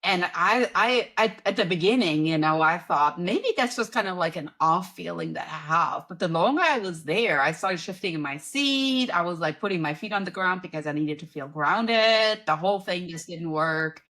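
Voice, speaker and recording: German-accented woman, lower-voiced, animated. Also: moderate pace, few pauses. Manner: animated storyteller, acts out her own reactions. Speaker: guest, business and wellness coach. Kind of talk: casual interview talk.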